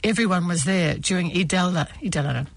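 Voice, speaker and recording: A woman reading a first-person testimony aloud in a measured, earnest way. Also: fairly low pitch, vocal fry, no echo.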